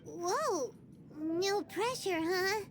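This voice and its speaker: A woman voicing a tiny, cheeky, bubbly fairy girl companion in a video game, squeaky and childlike. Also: lively intonation, extremely slow, heavily acted. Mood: surprised.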